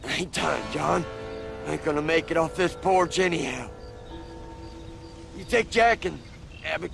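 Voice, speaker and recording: A weary old ranch hand in a Western game. His high, heavily gravelled voice drags slowly in a rural American drawl and swings up and down. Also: music in the background. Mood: pained, resigned. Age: older. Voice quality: creaky, gravelly. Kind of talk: video game voice acting, Western drama.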